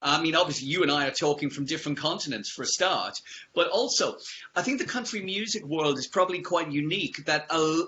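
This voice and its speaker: A man with a fairly high, bright and crisp-edged voice. He is an upbeat, chatty radio interviewer who talks conversationally. The recording is a little dull, with faint noise behind him. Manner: upbeat, chatty, tag questions.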